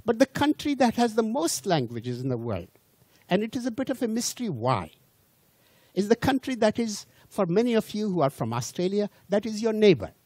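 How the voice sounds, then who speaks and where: Man, non-native English speaker, lecturing, lively pitch, restarts and repeated words. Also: moderate pace, dry recording. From India. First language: not English. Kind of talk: public lecture to a cruise audience.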